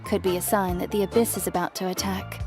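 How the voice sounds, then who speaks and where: A woman's voice: fairly low pitch, fairly even intonation, fast talker, natural voice. A woman playing a strict, bossy but caring neighbour in a video game, firm and wry. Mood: stern, warning. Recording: background music under the voice.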